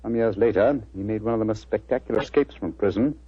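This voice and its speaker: An actor in a 1940s radio drama playing a brisk, shrewd gentleman detective with an English accent and a formal, theatrical manner. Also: fairly low pitch, breathy edge, no room sound. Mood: wry, shrewd. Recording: dull, dry old radio recording.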